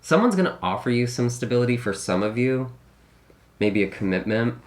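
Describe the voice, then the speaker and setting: A man giving a casual tarot reading, slightly raspy from a recent illness, musing and thinking aloud with many hedges and restarts. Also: mid-range voice, expressive, moderate pace. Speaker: tarot reader.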